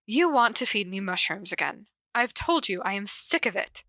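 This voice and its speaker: Irritable, formal young man in charge voiced by a woman, bright tone, close dry sound, read-aloud story. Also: medium pitch, expressive, moderate pace, no echo. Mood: exasperated. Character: prickly, suspicious, easily flustered young man in charge.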